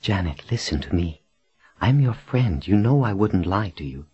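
Man reading as a firm, reassuring woman leader, husky. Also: low-pitched, animated, normal speed, dark tone, dry recording, muffled recording, voice pushed up, full character voice. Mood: earnest insistence. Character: resolute, reassuring woman leader rescuing a friend.

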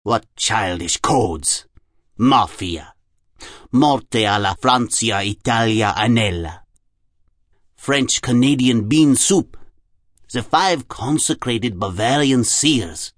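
Man voicing a sneering psychoanalyst, very deliberate. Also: mid-range voice, animated, no echo, studio quality. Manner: slow, deliberate, mocking incantation. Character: sneering, smug old psychoanalyst intoning cryptic words. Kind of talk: audiobook character acting.

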